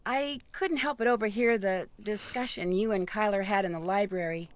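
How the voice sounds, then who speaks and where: A woman's voice: medium pitch, normal speed, no echo, lightly acted. An actress playing an inquisitive, composed young female detective in a video game. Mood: curious, probing.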